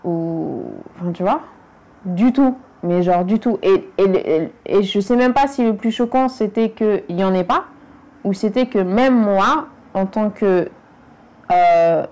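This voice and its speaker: Woman, from France, dark warm tone, frank, emphatic, casual interview talk. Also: mid-range voice, slow pace. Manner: frank and emphatic, speaking directly to the listener. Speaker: documentary interviewee.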